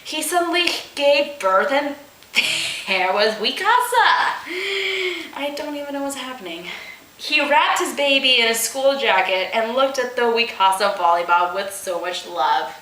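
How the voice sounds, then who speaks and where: A woman's voice: on the high side, lively intonation, unhurried, pushed and bright, roomy sound, rough recording. A woman hosting a comic read-aloud of amateur fan fiction, giggly, mock-dramatic and incredulous in her delivery.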